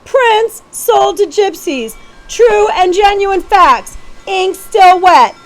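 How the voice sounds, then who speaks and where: Woman putting on a loud, piercing street news crier. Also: very high-pitched, expressive, faint music behind, voice pushed up, exaggerated voice, rough recording. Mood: urgent, sensational. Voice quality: piercing, crow-like cry. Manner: loud drawn-out hawker's cries. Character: shouting street news seller, man, urgent and sensational.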